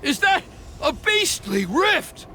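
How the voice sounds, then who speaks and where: An actor playing a stern, zealous, scheming village elder in a video game, formal and declamatory. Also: male voice, extremely high pitch, big pitch swings, takes it slowly, sharp tone, a little hiss or hum, full character voice. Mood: indignant.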